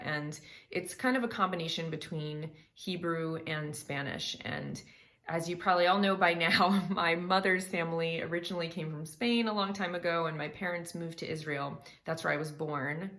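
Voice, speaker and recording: A woman's voice: fairly low pitch, fairly bright tone. A woman vocal coach talking casually to her viewers, chatty, explaining song lyrics line by line. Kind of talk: casual reaction vlog talk.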